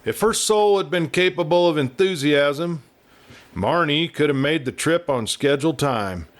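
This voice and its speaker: A man narrating a scripted western story as an audiobook reader, with deliberate, expressive phrasing. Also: on the high side.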